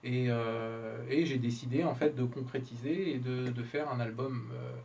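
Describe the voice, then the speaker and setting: A man's voice: medium pitch, fairly even intonation, creaky, a little mellow. Man in casual interview, distant noisy recording. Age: middle-aged. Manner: informal, colloquial, halting with self-corrections. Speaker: interviewed musician, talkbox player and producer. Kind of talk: casual interview talk, explaining his craft. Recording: distant mic, noisy room background.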